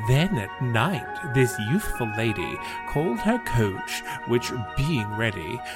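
A man reading poetry as a scripted narration, measured and expressive. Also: mid-range voice, low-quality recording. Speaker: podcast host and poetry reader. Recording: music behind the voice. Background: music.

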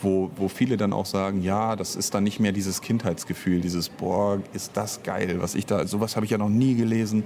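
A man's voice: on the low side, fairly even intonation, moderate pace, hardly any pauses, a little mellow, a little hiss or hum. A middle-aged man in a casual interview, thinking aloud and often breaking off to rephrase. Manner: thinking aloud, hesitant, self-interrupting, reflective. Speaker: audio drama writer and director being interviewed.

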